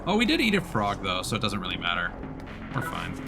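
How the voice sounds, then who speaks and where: Male game streamer: fairly deep, animated, playful and conversational, over clear background music. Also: brisk. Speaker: video game livestreamer. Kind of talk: casual let's play commentary with on-screen text read aloud.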